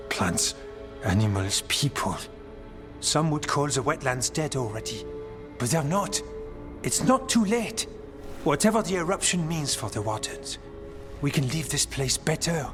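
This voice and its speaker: An earnest, gentle male warrior of a fantasy game. His middle-pitched voice moves widely up and down in short, halting, soft-hearted phrases. Music is clearly heard behind him. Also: sing-song. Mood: earnest, troubled. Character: gentle, earnest, dreamy nature-loving Grey Warden man. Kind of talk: video game voice acting.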